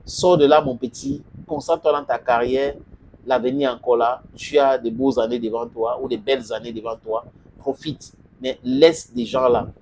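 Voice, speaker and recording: A man's voice: mid-range voice, big pitch swings, normal speed, creaky, darkish tone, slight background noise, poor audio. A man, giving a football opinion monologue and scolding a player directly as if lecturing him. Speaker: sports commentator.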